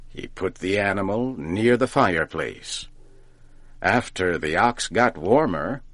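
A man narrating a folk tale from a script, deliberately and with careful enunciation, as if for learners. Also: on the low side, dry recording. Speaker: storyteller, narrator.

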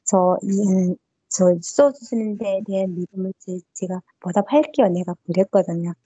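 A woman's voice: medium pitch, moderate pace, dark tone, breathy edge, no echo. A woman in her thirties from North Korea, with a North Korean accent, telling her own story in an interview with many fillers and restarts. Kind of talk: personal recollection in an interview. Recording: dull lo-fi dry recording.

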